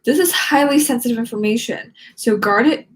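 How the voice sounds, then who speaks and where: A woman voicing a moody, high-strung male teacher from a storybook, irritable and bossy. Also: a little fast, natural voice. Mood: stern.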